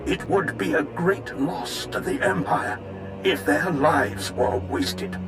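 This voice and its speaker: A man playing a deferential, flattering imperial fleet officer in a sci-fi game, courtly, formal and deliberate. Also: a little high. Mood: concerned, formal. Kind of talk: scripted video game dialogue. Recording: music behind the voice.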